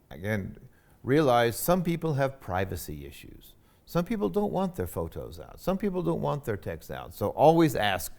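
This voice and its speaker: A man giving a university lecture on etiquette, with a loud, emphatic voice and frequent fillers. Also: mid-range voice, creaky.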